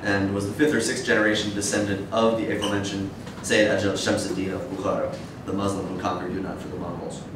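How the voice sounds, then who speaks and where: A man in his thirties giving an academic lecture in a measured, hesitant way. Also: level tone. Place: dim wood-shelved seminar room with audience, indoors. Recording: echoey room, distant mic, noisy rumble.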